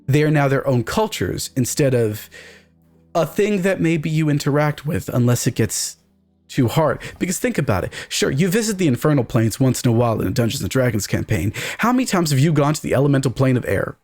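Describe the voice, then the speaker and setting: A man's voice: mid-range voice, big pitch swings, a little fast, darkish tone, faint music behind. A man speaking as a podcast guest, discussing fantasy games in an emphatic, candid, opinionated way. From Canada. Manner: emphatic, candid, swears casually. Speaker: podcast guest, game writer.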